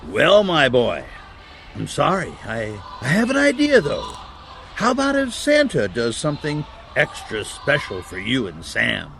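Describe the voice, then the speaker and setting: A man playing a kindly, grandfatherly old Santa Claus in a family audio drama, halting and chuckling as he talks. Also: medium pitch, wildly swooping pitch, creaky, fairly bright tone, exaggerated voice. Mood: gentle, caring. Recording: music behind the voice.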